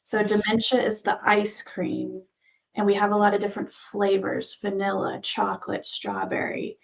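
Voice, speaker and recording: A woman guest on a panel explaining things informally as a health professional, in measured phrases with frequent pauses. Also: medium pitch, vocal fry, low-quality recording. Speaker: physician assistant, panel guest.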